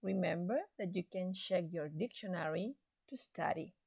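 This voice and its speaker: A woman teaching an English lesson to secondary students, speaking slowly and deliberately with an encouraging classroom manner. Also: on the low side, creaky, darkish tone, slightly rough, no echo, clean studio sound. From Chile. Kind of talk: slow recorded classroom lesson.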